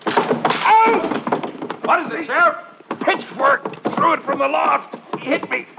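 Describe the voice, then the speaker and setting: An anxious, urgent sheriff in an old radio drama. The voice is high and gravelly, leaping wildly in pitch. The recording is crackly and noisy. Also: male voice, lively intonation, slow pace, lo-fi sound, heavily acted. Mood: pained. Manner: urgent, exclamatory. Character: excitable, anxious male country sheriff, loyal sidekick. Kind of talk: 1950s radio drama acting.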